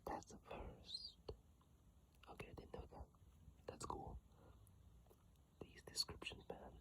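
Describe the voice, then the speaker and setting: An ASMR creator whispering in a breathy, halting, informal way, commenting on games close to a phone mic. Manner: slow, hushed, halting and informal. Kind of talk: whispered ASMR reading and rambling game commentary. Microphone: iPhone mic, held close.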